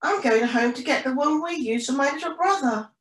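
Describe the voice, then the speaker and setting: Lady putting on an excited girl penguin, super high n swoopy, storybook style, echoey. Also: a little fast, distant mic. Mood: amazed. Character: amazed, excitable girl penguin friend shouting over. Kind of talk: children's storybook reading.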